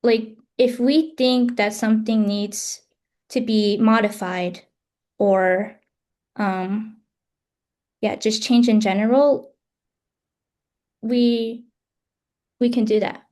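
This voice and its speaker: Hesitant young woman, slightly high voice. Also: steady tone, slow pace, long pauses, a little mellow. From United States. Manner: hesitant, many fillers and restarts. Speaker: student presenter. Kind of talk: online panel Q&A.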